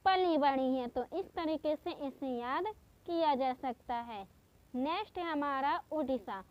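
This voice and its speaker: A woman teaching exam-prep memory tricks in a slow, patient way, repeating each step. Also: high-pitched, dry recording, muffled recording. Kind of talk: exam-prep mnemonic lesson.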